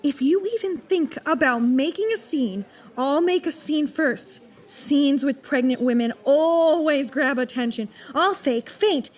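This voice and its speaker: Woman acting very high-voiced, stubborn pregnant wife. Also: a little slow. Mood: defiant. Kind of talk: comedic radio play acting.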